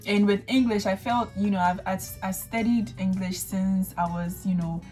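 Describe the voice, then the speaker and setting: A young woman with a Ghanaian accent, not a native English speaker, hosting a casual chat and telling her story with lively acted-out thoughts. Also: medium pitch, normal speed, faint music behind, low-quality recording. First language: a Ghanaian language. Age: young adult. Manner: animated storyteller acting out her own thoughts. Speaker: vlogger host.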